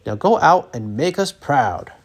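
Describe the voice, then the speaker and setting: A man's voice: on the high side, very animated, unhurried, full character voice. A man voicing a stern, commanding father raccoon in a children's storybook. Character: stern father raccoon heading the family business.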